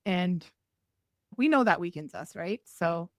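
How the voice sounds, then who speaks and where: A woman's voice: on the low side, lively intonation, a little slow, no room sound, clean studio sound. A woman from New England, a podcast guest and healer, giving advice in short listed phrases with occasional laughs. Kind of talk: casual interview chat.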